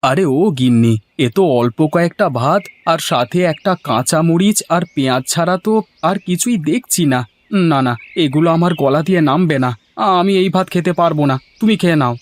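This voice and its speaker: A man's voice: on the high side, lively intonation, a little fast, close to an everyday voice. Earnest, plaintive poor village farmer voiced by a man. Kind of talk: Bengali moral-story cartoon acting.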